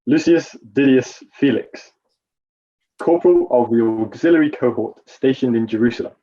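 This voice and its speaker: A man's voice: mid-range voice, moderate pace, mellow, muffled recording, natural voice. A man playing a nervous, evasive Roman guard corporal testifying in a courtroom drama, hesitant and deferential. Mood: formal, dutiful.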